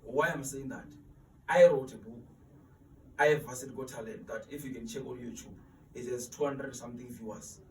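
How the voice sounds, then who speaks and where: A man's voice: medium pitch, fairly even intonation, a little fast. Man in his twenties, earnest interview guest, stumbles and repeats words, roomy echo, mic set back. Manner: earnest, repeats words when searching for them. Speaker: interview guest, student mentor. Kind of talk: motivational interview talk. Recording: echoey room, distant mic, faint noise.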